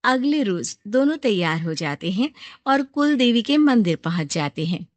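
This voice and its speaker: A woman's voice: medium pitch, very animated, normal speed, no room sound. A woman narrating a scripted moral story as storyteller.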